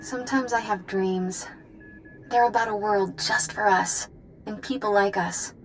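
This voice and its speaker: An earnest young female cult leader, voiced by an actress in a sci-fi game. Her voice is mid-pitched, and she speaks with a naive, idealistic dreamer's conviction. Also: steady tone, measured pace, a little hiss or hum, close to an everyday voice, low-quality recording. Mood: wistful, earnest. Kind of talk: video game voice acting.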